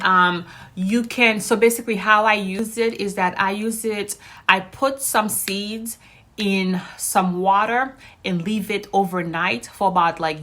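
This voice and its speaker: A woman's voice: on the low side, a bit bright. A woman whose native language is Haitian Creole, chatting casually and cheerfully to her viewers as the host of a vlog. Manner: upbeat, chatty, addresses viewers directly.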